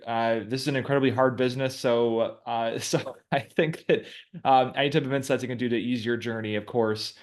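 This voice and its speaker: A man in his thirties hosting a casual coaching chat, relaxed and talking with many filler words. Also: mid-range voice, a little fast. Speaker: host coaching a guest.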